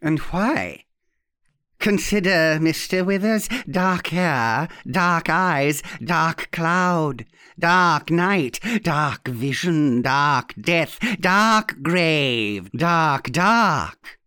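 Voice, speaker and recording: A man's voice: a little high, animated, pushed and bright, no echo, clean studio sound, pitched above the performer's own voice, exaggerated voice. A man voicing a sly, theatrical old aunt in an audiobook, suave and falsely sweet with a mocking edge. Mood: sly, probing. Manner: suave, smooth, drawn-out delivery with satirical edge. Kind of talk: dramatic audiobook character reading.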